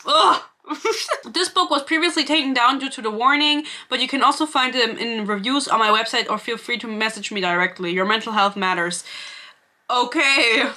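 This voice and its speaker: A woman's voice: on the high side, normal speed, bright, forceful tone. A young woman with a German accent, ranting sarcastically and swearing freely in a casual book review vlog to camera.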